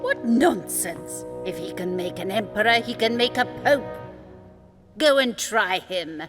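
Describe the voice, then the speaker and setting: A woman's voice: mid-range voice, lively intonation, a little slow, a bit bright, faint music behind. An actress playing a greedy, domineering fisherman's wife in a fairy tale audio drama, haughty and dismissive. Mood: bossy, scornful.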